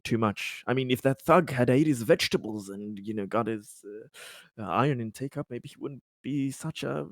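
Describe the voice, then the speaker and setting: Halting, wry man playing a tabletop game. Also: medium pitch, no echo, clean studio sound. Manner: hesitant, halting, politely wry. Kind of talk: in-character banter at a tabletop game.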